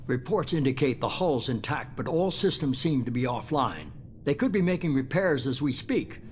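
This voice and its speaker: The shadowy older chief who briefs agents in a sci-fi game, measured and commanding a man acting, over background noise. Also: mid-range voice, expressive, close to an everyday voice. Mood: grave, urgent. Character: shadowy, calculating older male spymaster giving covert orders.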